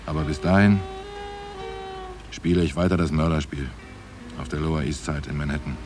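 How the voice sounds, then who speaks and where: A seasoned, world-weary police detective in a radio drama. His middle-aged male voice is very low, plain-spoken and wryly reflective, with music behind it. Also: steady tone, fairly quick. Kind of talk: radio play narration and dialogue.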